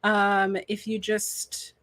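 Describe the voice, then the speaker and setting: A woman with a New Jersey accent talks in a relaxed, chatty way and laughs easily. Her voice is bright and forward. The sound is dry and close. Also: medium pitch, very slow, no room sound. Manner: laughs often, chatty storyteller. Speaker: podcast guest. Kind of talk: casual chat.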